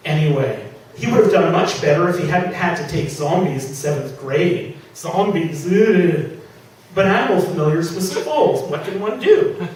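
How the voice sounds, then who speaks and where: A man reading his own comic story to a live audience, animated and exclaiming, with digressive asides. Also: on the high side. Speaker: author reading own fiction. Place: public reading event in a venue. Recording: echoey room from far off, faint noise.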